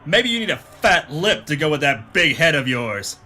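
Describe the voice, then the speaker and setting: A man playing a hardboiled, self-serious pulp detective hero, narrating deadpan in a comic audio drama. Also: fairly high pitch, normal speed, a little hiss or hum. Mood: deadpan tough. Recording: echoey hall reverb, music behind.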